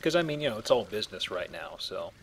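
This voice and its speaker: A man's voice: on the low side, very animated, fast. A man giving casual, wry commentary as he plays a video game. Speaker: gamer hosting a let's play. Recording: faint noise behind voice. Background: game ambience.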